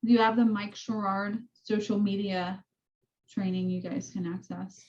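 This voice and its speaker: A woman with a soft voice, casually walking her real estate team through training tools on a call. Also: medium pitch, level tone, a little slow, lo-fi sound. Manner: chatty, rambling explanations. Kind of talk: casual team meeting walkthrough.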